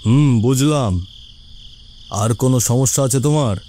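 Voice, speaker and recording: A man voicing a stern, self-righteous village headman in a cartoon, commanding and condescending, with drawn-out hums. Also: medium pitch, mellow, slight background noise, close to an everyday voice. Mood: curt, restrained. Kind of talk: cartoon voice acting.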